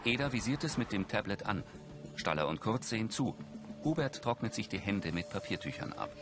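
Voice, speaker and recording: A man with a low voice narrates screen action as audio description. His delivery is precise and factual, with a mobile intonation, and music plays clearly underneath. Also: very animated, fairly quick. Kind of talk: scripted present-tense narration of screen action. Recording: film soundtrack and music underneath.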